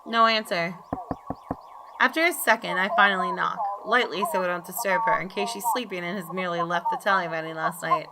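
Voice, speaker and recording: Woman with a lowish voice reading out a visual novel, kinda deliberate and expressive, noticeable background noise. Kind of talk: dramatic narration of story text. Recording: clearly noisy background.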